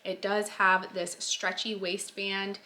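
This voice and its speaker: A woman's voice: medium pitch, flat delivery, takes it slowly, sharp tone, a little hiss or hum. A woman in her late twenties giving a casual clothing try-on review, chatty with lots of fillers, a bit stuffy from a sinus infection. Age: 20s. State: nasal, recovering from a sinus infection. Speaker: fashion vlogger.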